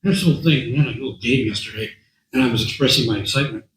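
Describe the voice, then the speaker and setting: A man with a hoarse voice speaking to a meeting as a guest speaker, talking in a conversational way, repeating words and often clearing his throat. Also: mid-range voice, very fast, fairly bright tone, reverberant, a little hiss or hum, rough recording. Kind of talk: talk to a meeting. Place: meeting room.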